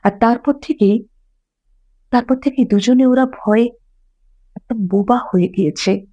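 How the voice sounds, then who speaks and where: A woman's voice: fairly high pitch, measured pace, dull audio. A woman voicing a troubled, loyal village man, aggrieved and deferential, confiding in dialogue from an audio story. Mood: grave, distressed.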